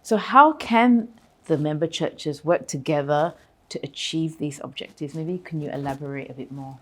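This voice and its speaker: A woman with a distinctly low-pitched voice conducts an interview. She puts her questions in a conversational way, pausing and feeling for the right words as she goes. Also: a bit bright.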